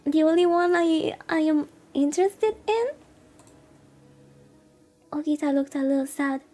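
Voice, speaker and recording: A woman's voice: high-pitched, unhurried, mellow. A woman reading a visual novel aloud on a live stream and dropping in casual asides, sometimes stumbling over names. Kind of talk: live read-aloud of game dialogue with casual commentary.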